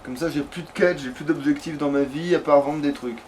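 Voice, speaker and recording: A man's voice: on the high side, steady tone, fairly quick, a little mellow. A man giving casual, slangy, sweary let's play commentary while chatting with a partner. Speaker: let's player. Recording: clearly noisy home recording.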